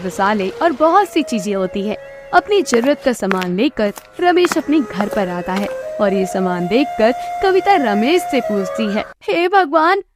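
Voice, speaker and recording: A woman's voice: mid-range voice, noisy background. A woman reading scripted narration for a children's moral story as the storyteller.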